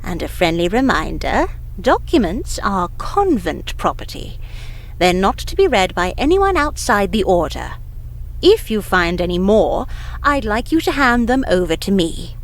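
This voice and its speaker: Visual novel role: devout, watchful older nun, stern and measured, very lilting intonation. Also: female voice, mid-range voice, big pitch swings. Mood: politely stern. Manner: measured, formal, admonishing.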